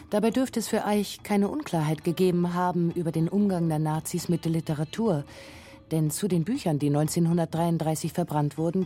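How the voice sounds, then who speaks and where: A woman narrating a scripted radio feature in a sober, measured manner. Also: on the low side, warm tone. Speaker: documentary narrator.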